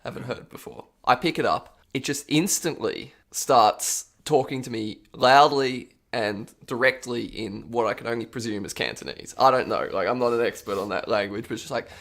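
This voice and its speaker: A man's voice: medium pitch, moderate pace, vocal fry, few pauses, fairly bright tone. A man co-hosting a casual podcast, rambling through absurd theories and laughing often. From Australia. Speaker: podcast co-host. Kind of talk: casual chat.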